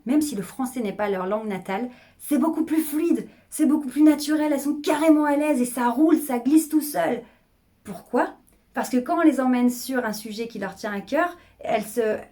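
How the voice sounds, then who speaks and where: A middle-aged woman speaking as a public speaking coach, energetic and emphatic, often repeating phrases to drive a point home. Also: on the high side. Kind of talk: coaching video monologue.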